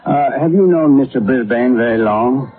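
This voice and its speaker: An American actor playing a plain-spoken, methodical police sergeant in a vintage radio drama, asking questions matter-of-factly. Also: male voice, mid-range voice, moderate pace, slight background noise, natural voice. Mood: methodical questioning. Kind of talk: 1950s radio drama acting. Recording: muffled, phone-like vintage radio recording.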